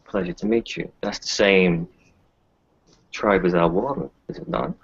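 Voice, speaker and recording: Man playing a gravelly, courteous werewolf-tribe character. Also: low-pitched, unhurried, full character voice. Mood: courteous, unruffled. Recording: dull lo-fi voice recording.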